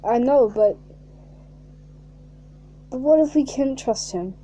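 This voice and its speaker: A woman reading a fan fiction aloud in a mocking way, reading flatly and then bursting into shrieking, laughing asides. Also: high voice, takes it slowly.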